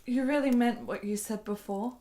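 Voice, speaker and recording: A woman's voice: on the high side, normal speed, mellow, close to an everyday voice. An actress playing a frail, insecure, devoted woman in a period radio drama, with a hesitant, emotional delivery. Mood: disbelieving, pleading.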